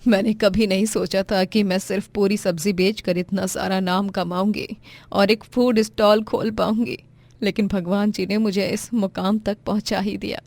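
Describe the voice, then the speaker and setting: A woman playing a gentle, patient, self-sacrificing poor mother, earnest and sentimental, in a moral story. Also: mid-range voice, level tone, normal speed, dry recording, natural voice. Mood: grateful, moved. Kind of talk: dramatised Hindi moral story.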